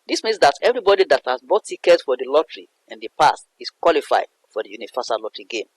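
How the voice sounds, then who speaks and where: Man, literature lesson, didactic, restating points, very lively pitch, dry close sound. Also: quick pace, slightly rough, dry recording, poor audio. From Nigeria. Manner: didactic, repeats and rephrases points. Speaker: literature teacher. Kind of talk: explanatory poetry analysis lesson.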